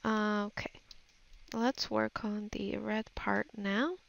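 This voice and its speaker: A woman's voice: medium pitch, fairly even intonation, takes it slowly, gravelly. A woman giving a casual voice-over tutorial on her art, thinking aloud in a musing, hesitant way as if half talking to herself. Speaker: digital artist giving a tutorial.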